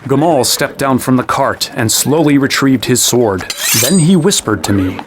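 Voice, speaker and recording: A man's voice: medium pitch, expressive, moderate pace, background noise, close to an everyday voice. An actor playing a young man who narrates his own fantasy adventure as scripted first-person storytelling. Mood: reflective.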